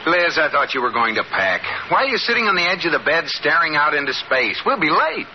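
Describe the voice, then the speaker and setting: An actor playing an impatient, exasperated husband with a sulky streak in a 1950s radio comedy. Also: male voice, on the high side, big pitch swings, a little fast. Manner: emphatic, exasperated. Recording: noisy, dull old radio recording with audience.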